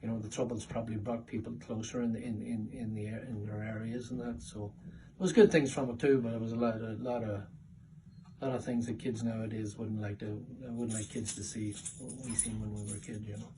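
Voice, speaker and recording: A middle-aged man with a Northern Irish accent reminisces casually in a family interview, speaking haltingly and repeating words. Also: lowish voice, level tone. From Northern Ireland. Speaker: interviewee, father. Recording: distant mic with background room noise.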